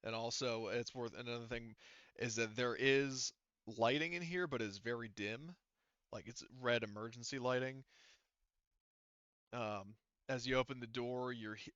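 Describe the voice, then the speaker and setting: Male game master, casual and rambling, bright clean voice, recorded close and dry. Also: mid-range voice, smooth, no echo, dull audio. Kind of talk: casual narration of an online tabletop game.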